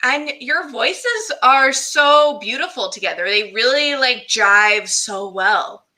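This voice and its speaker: A woman's voice: a little high, expressive, slow, sharp tone. A woman hosting a casual interview in an effusive, gushing way.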